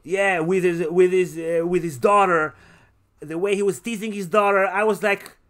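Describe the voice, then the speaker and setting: A man's voice: high-pitched, fairly even intonation, moderate pace, fairly bright tone. A man hosting a casual podcast movie review, talking in an emphatic, enthusiastic way. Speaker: podcast host.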